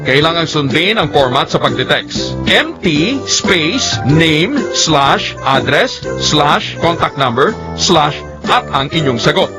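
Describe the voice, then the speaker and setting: A Filipino man announces a scripted radio promo. His voice is on the high side and lively, with clear music playing behind it. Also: slow pace.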